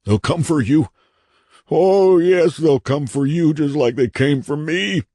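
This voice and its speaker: A man voicing a terrified, half-mad character in an audiobook, hoarse and strained, pleading and urgent as he gives his warnings. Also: a little high, expressive, slow pace, mellow, no echo, studio quality, pitched above the performer's own voice, exaggerated voice. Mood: frenzied terror.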